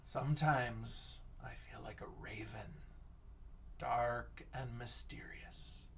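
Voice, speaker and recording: Guy teacher doing a read-aloud for kids, takes it slow, expressive w hushed bits, bit far from the mic. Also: mid-range voice, whispered. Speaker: teacher reading aloud to class. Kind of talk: read-aloud of a picture book and lesson chat for children.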